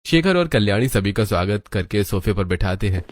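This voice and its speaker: A man's voice: lowish voice, lively intonation, a little fast, lightly acted. A man reading scripted narration for a family cartoon story.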